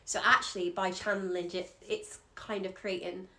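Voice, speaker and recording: A woman's voice: medium pitch, little pitch movement, normal speed, sharp tone. A Scottish woman, an astrologer, giving an earnest, encouraging chart reading in loose conversational speech.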